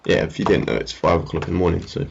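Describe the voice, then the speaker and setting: A man's voice: low-pitched, racing, creaky, highs cut off, a little hiss or hum. A man giving casual, unscripted commentary on a screen as a market analyst, hesitant and rambling. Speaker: trading analyst presenting his market update. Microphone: amateur screen-recording voice-over.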